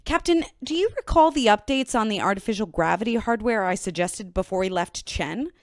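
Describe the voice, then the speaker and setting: Lady doing exasperated ship engineer for space radio show, sarcastic, lively pitch, dry sound. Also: a little fast, no echo, natural voice. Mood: stern, sarcastic. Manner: scolding, sarcastic. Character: stern, exasperated female chief engineer of a starship. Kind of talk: sci-fi radio drama acting.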